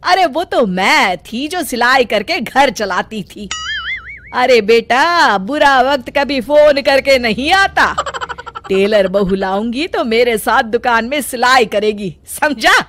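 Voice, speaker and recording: A woman voicing a sharp-tongued, domineering middle-aged mother-in-law who runs a tailoring shop, in a scolding, emphatic cartoon drama manner. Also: fairly high pitch, lively intonation, slow pace, background noise. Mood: scolding, bossy. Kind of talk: Hindi cartoon family drama acting.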